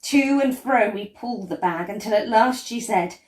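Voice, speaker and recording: A woman reads a children's story aloud expressively, with deliberate phrasing and dramatic pauses. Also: medium pitch. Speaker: storyteller reading a picture book. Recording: roomy, echoey indoor sound.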